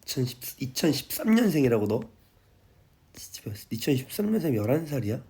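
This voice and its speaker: A young man in his twenties talks at an ordinary mid pitch, sounding a little tipsy and drowsy after a long day. He chats casually and softly into a phone livestream from home, reading viewer comments. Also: very animated, brisk. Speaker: idol livestreamer. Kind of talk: casual late-night livestream chat. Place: at home, indoors.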